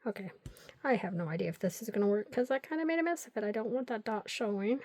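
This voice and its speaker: A woman's voice: medium pitch, lively intonation, fast talker, darkish tone, a little hiss or hum. An older woman hosting a crafting vlog, chatting and rambling through stories while she glues paper pieces. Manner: talks to herself while gluing. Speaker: crafting channel host.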